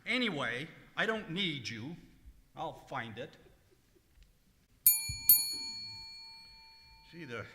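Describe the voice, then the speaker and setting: A man's voice: a little high, very animated, a little slow, heavy background noise. A man playing a fussy, exasperated, penny-pinching comedian with hesitant, indignant delivery in old-time radio comedy style. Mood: fretful. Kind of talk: old-time radio comedy acting, live stage revue. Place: live performance in a large museum room. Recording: echoey museum hall, live stage.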